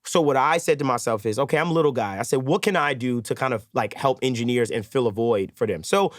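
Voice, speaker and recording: A man's voice: mid-range voice, fast talker, no room sound, studio quality. A man chatting casually as a podcast guest, telling stories with rhetorical questions. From Brooklyn, New York. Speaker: podcast guest, audio engineer and plugin maker. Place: podcast recording studio.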